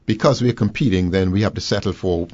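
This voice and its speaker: A man from the Bahamas, a guest on education, speaking in a deliberate, formal, lecturing way. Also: sits low, darkish tone, no room sound, lo-fi sound. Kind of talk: measured talk radio discussion.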